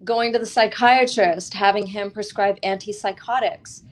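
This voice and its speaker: A woman in an interview speaks as an author about her own work and outlook. Her voice sits at a medium pitch. She is earnest and reflective, placing emphasis deliberately and pausing between phrases. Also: steady tone, a little hiss or hum.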